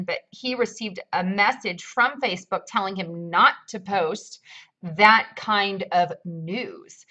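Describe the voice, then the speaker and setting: Woman interviewer, brisk and leading, crisp bright tone, mid pitch. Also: dull audio. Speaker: show host, interviewer. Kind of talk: online news interview.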